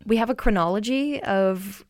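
A young woman co-hosting a podcast, walking through her research in a rambling, hesitant way with many ums and quick laughs. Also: medium pitch, a little mellow, dry recording, studio quality. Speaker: podcast co-host presenting research. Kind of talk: casual chat.

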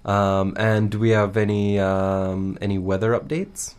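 A man co-hosting a casual, humorous radio show in a halting, mock-serious way. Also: fairly low pitch, steady tone, darkish tone, smooth.

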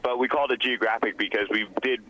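A man's voice: mid-range voice, fairly even intonation, quick pace, bright, forceful tone, highs cut off. A man being interviewed on radio about his clothing brand, talking casually with many fillers and restarts. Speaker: guest, clothing designer.

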